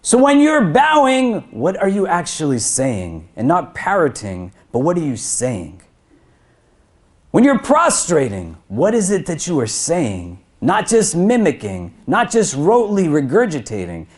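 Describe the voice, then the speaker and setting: High, animated male preacher. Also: unhurried. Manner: swells in pitch and volume on lists and rhetorical questions, then drops low. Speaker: imam. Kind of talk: Friday sermon. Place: crowded prayer hall.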